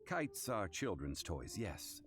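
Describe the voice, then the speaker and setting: A man playing a calm, erudite gentleman in a video game, speaking formally and deliberately. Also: low-pitched, heavily acted. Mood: composed, admiring. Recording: prominent game music behind the voice.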